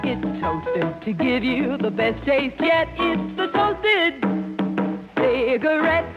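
A woman's voice: high voice, lively intonation, takes it slowly. A woman singing a 1950s radio advertising jingle. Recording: dull vintage radio, loud music.

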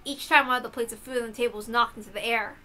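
A woman giving expressive scripted narration of a visual novel. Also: on the high side, racing.

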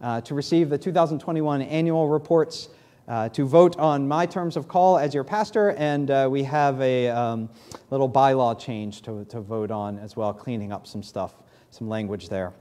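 A man in his thirties with a New England accent, a pastor giving church announcements and leading prayer at a podium mic, speaking haltingly with many fillers. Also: medium pitch, steady tone, dark tone. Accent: New England American. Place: large church sanctuary, in doors.

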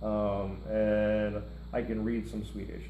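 A man's voice: fairly low pitch, level tone, slow pace, mellow, dull audio. A middle-aged man co-hosting a music reaction show, chatting casually in a rambling way with joking asides. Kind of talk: casual chat. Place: indoor room, seated at a table before a lit curtain. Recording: roomy room with faint hiss.

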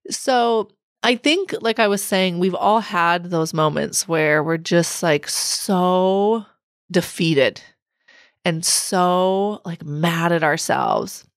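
Woman hosting her own podcast, bit raspy w a cold, lowish voice, reflective coaching vibe, dry close mic. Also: takes it slowly, no room sound. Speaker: podcast host. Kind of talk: solo podcast talk.

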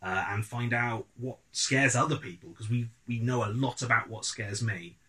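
A British man in his thirties, a podcast writer, chatting in a droll, playful, wordy way through a casual pitch. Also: moderate pace, sharp tone, rough recording. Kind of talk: casual two-person crowdfunding pitch. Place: small living room, on a sofa.

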